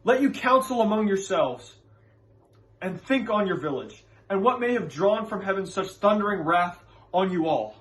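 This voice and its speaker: A man's voice: high-pitched, lively intonation, moderate pace, fairly bright tone, voice pushed up, rough recording. A man performing stage monologues as an earnest, scholarly witch-hunting reverend, with emphatic, preacherly delivery and formal archaic phrasing. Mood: urgent reasoning.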